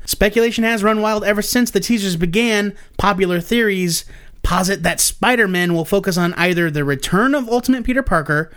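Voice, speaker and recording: Guy with a high voice reading out comics news on a podcast, kinda dry. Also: fairly bright tone, smooth. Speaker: podcast co-host. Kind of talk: reading news items and casual chat.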